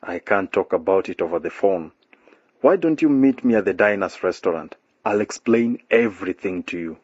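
An actor playing a scheming male politician who offers a bribe, smooth and coaxing in a radio drama. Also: sits low, expressive, normal speed, darkish tone, no echo. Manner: smooth, coaxing, then threatening. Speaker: member of parliament. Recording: dull lo-fi radio drama recording.

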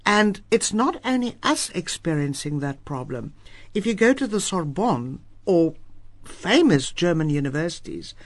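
A woman's voice: lowish voice, measured pace, dry recording. A South African woman, an Afrikaans writer, speaking firmly and emphatically as an interview guest. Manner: emphatic, lively, firm opinions. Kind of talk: radio interview.

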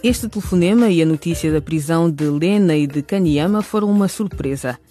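Radio drama narrator-announcer, medium pitch, scripted delivery over prominent hip hop music. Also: a little fast, mellow.

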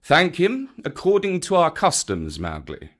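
A man's voice: on the high side, wildly swooping pitch, measured pace, fairly bright tone, pitched above the performer's own voice, exaggerated voice. A man reading a storybook aloud, voicing a stern panther with a dry, cool manner.

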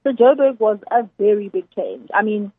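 A South African woman chef, a guest chatting casually in an interview, emphatic and quick to laugh. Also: mid-range voice, breathy edge, no room sound. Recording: dry phone-like radio line.

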